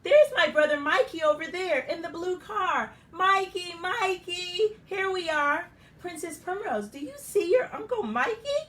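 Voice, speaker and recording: A woman voicing a caring young mother in a storybook, gently correcting her daughter. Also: sits high, sing-song, measured pace, few pauses, echoey room, far from the mic. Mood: excited.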